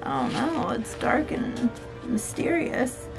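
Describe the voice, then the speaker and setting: A woman in her thirties reading a storybook and chatting with a young child in an expressive, sing-song way. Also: high-pitched, takes it slowly, vocal fry, over music, low-quality recording. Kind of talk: storybook read-aloud and casual chat with a child. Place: small room at home, indoors.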